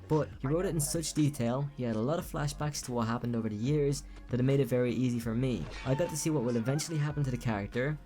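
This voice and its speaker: Man reading an actress's interview quotes, brisk read, mellow dark tone. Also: medium pitch, close to an everyday voice.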